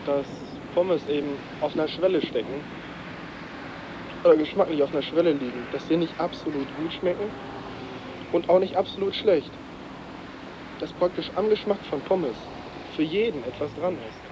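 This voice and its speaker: A man's voice: a little high, moderate pace, pauses often. A man giving his opinions in casual, spontaneous interview talk. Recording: dull cassette tape with street noise.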